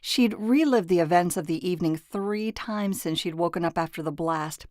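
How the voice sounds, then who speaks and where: Low-pitched woman narrating a novel. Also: a little mellow, dry recording, clean studio sound. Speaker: audiobook narrator. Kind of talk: scripted audiobook narration.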